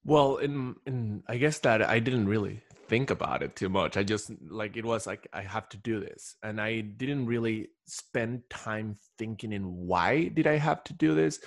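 A man's voice: on the low side, measured pace, creaky, hardly any pauses, dry recording, studio quality. A man from Ecuador talking casually as a podcast guest, telling stories in a rambling way with repeated restarts. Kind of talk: casual conversational storytelling.

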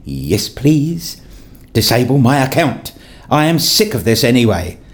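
A man's voice: mid-range voice, sing-song, unhurried. An actor playing a weary, irritable academic who narrates his own day in a radio play, with a dry, sardonic manner. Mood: irritated, bored. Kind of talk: scripted first-person narration, radio play.

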